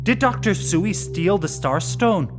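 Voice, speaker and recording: A man's voice: high voice, a little slow. An actor playing an eager, curious man in an audio drama. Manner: excitable, casual. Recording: loud music bed under the voice.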